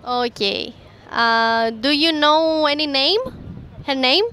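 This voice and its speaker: A woman's voice: high voice, takes it slowly, sharp tone, slight background noise. A young woman hosting a street interview outdoors in a busy city square, asking passersby questions on a handheld mic. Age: young adult. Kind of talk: street vox-pop interview.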